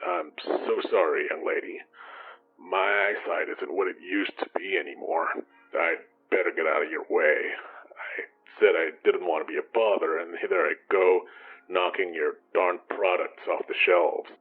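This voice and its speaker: A man's voice: low voice, measured pace, breathy edge, narrow, tinny audio, exaggerated voice. An actor playing an apologetic, folksy old man who rambles in an audio drama. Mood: apologetic, wistful. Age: older. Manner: folksy, meandering, self-deprecating.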